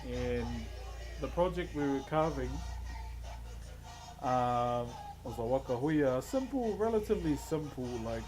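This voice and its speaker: A man's voice: medium pitch, expressive, measured pace, rough recording. A man with a New Zealand accent, a wood carver chatting casually with live stream viewers in a laid-back, chuckling, slangy way. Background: background music.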